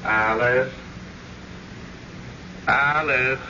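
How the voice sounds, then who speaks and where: A man's voice: mid-range voice, fairly even intonation, drawn-out words, sharp tone, heavily acted. An actor playing a miserable, pleading male ghost in an old-time radio drama, calling out plaintively. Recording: muffled, noisy vintage radio recording.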